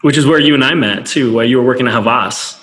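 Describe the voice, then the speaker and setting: A man's voice: mid-range voice, a bit bright. A man hosting a podcast, chatting casually and laughing often with a friend he interviews. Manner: easygoing, laughs often, quick restarts. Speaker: podcast host.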